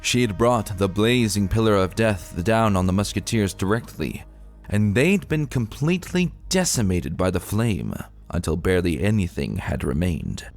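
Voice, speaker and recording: A man giving a dramatic, solemn and measured reading of a dark fantasy story. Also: fairly low pitch, animated. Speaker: narrator reading fan fiction. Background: music.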